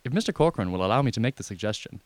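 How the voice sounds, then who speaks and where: A man's voice: fairly low pitch, lively intonation, dry recording, close to an everyday voice. A man playing a deferential, unflappable valet who speaks formally and at length in a comic radio drama. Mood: discreetly persuasive. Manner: formal, measured, circumlocutory.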